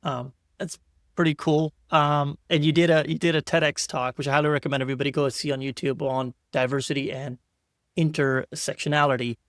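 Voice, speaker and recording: A man's voice: fairly high pitch, level tone, brisk, no room sound, clean studio sound. A man hosting an interview podcast, talking in a loose, rambling way with repeated words and restarts. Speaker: podcast host. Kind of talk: casual interview chat.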